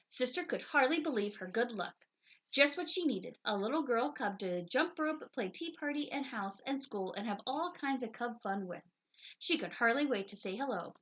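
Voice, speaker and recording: A woman reading a children's story aloud in an expressive storytelling style. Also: fairly high pitch, slight background noise. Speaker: picture book reader.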